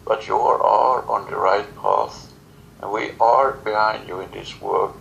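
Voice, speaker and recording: A man who speaks English as a second language, giving solemn spiritual teaching in halting, short phrases as a channeler. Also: lowish voice, fairly even intonation, gravelly, fairly bright tone, dull audio, a little hiss or hum. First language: not English. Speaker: spiritual channeler answering questions.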